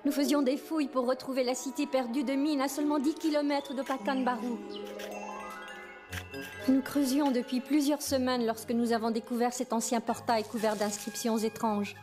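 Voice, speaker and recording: A woman's voice: sits high, level tone, normal speed, warm tone, soft background music, close to an everyday voice. A woman playing an earnest, determined mother and explorer in a French cartoon, speaking articulately. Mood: earnest, insistent.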